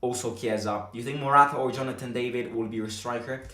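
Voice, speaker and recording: A man's voice: mid-range voice, steady tone, fast, bright, forceful tone. A man hosting a live football fan stream, chatting conversationally and hesitantly, often repeating himself as he goes. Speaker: fan channel host and streamer. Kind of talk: live-stream football chat, reading viewer comments. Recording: roomy echo, distant from microphone.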